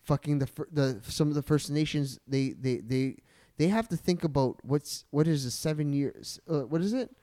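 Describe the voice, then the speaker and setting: Higher-voiced man, swearing casually. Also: normal speed, few pauses, mellow. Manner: emphatic, swears freely, restarts. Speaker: podcast co-host. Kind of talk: casual chat.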